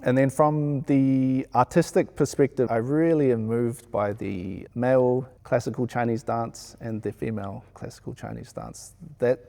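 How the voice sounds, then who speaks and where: Gravelly, mellow New Zealand man. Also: mid-range voice, steady tone, unhurried, few pauses. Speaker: sound engineer being interviewed. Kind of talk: reflective interview monologue.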